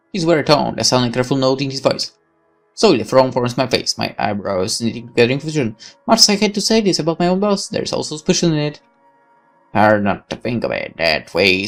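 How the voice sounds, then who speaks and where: Animated, creaky-voiced male gaming host. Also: mid-range voice, a little fast, soft background music. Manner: theatrical, animated, swearing. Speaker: gaming video host reading narration aloud. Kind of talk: dramatic expressive read-aloud of game narration with asides.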